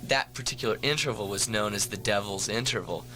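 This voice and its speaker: Bright-voiced joking musician man. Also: lowish voice, brisk, soft background music, rough recording. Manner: joking, rambling, wry asides. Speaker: musician guest. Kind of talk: casual radio interview. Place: campus radio studio.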